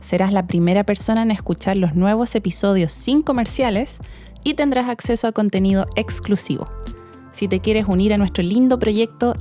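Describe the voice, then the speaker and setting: A woman's voice: medium pitch, mellow. A woman co-hosting a podcast, asking curious follow-up questions in a friendly, casual way. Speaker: podcast co-host, interviewer. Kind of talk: casual interview talk.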